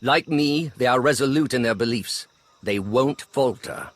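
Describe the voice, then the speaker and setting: An actor playing a proud, calculating imperial lord in a video game, speaking in a measured, formal, haughty way. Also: male voice, medium pitch, no echo, close to an everyday voice. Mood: smug, defiant. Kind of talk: video game character acting.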